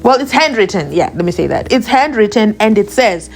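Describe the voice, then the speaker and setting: A woman with a South African accent, a channel host reading a document aloud slowly and breaking off for chatty asides. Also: medium pitch, expressive, soft background music. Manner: reads slowly and deliberately, adding chatty asides.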